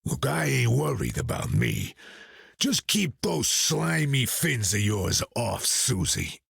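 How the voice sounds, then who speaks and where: Very gravelly, gruff rocky brawler. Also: male voice, medium pitch, huge pitch swings, slow pace, a bit bright, no echo, studio quality, full character voice. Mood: threatening. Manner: blunt, rough, slangy. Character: gruff, suspicious, streetwise male rocky brawler.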